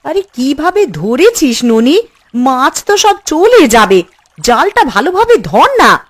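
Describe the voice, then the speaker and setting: A woman's voice: high voice, big pitch swings, takes it slowly, heavily acted. A woman voicing a scolding, hard-working village mother in a cartoon. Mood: bossy. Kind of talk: Bengali cartoon voice acting.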